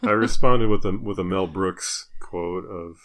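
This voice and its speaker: A man's voice: sits low, a little slow, a bit bright. A man co-hosting a podcast in casual comedic chat, with a joking, teasing manner. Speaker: podcast co-host.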